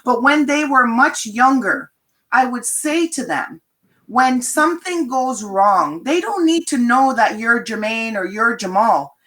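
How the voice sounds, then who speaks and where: Lively storytelling Canadian woman. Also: a little high, measured pace. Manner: animated storyteller, acts out quoted voices. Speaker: guest. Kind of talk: panel conversation.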